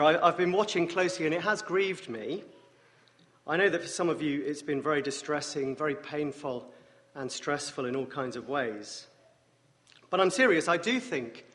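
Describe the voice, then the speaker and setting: An English man preaching as a guest speaker, with a wry, self-deprecating humor and a voice deeper than usual from a morning rasp. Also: a bit bright. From England. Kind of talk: sermon with dry jokes. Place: church sanctuary. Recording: long church reverb.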